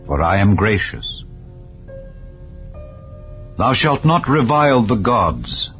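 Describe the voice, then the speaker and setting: A man narrating scripture in a solemn, measured, declarative manner, as in a dramatized Bible reading. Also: on the low side, lo-fi sound. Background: clear background music.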